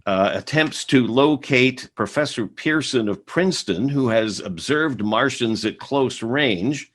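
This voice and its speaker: A man's voice: mid-range voice, expressive, a little slow, fairly bright tone. An actor playing a male radio news announcer in a radio play, reading urgent bulletins steadily with occasional hesitant fillers. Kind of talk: 1930s radio drama acting.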